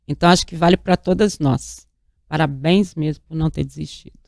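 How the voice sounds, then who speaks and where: A woman's voice: low-pitched, fairly quick, creaky, a little mellow, no echo, clean studio sound. Emphatic, enthusiastic female talk show host. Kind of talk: interview and show sign-off.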